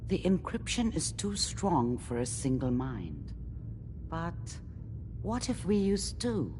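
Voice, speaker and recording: An actress playing a brisk, exacting woman scientist in a video game, speaking in precise clinical terms. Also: deep voice, very animated, mellow, a little hiss or hum, exaggerated voice. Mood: focused, concerned. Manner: precise, formal, clinical wording. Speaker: doctor and scientist character.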